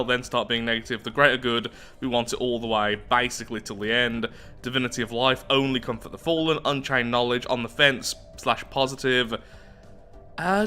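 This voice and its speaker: A man hosts a roleplay playthrough of a strategy game in a bright, forward voice at a middling pitch. He is chatty and reasons aloud as he plays. Faint music runs behind his commentary. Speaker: gaming YouTuber host.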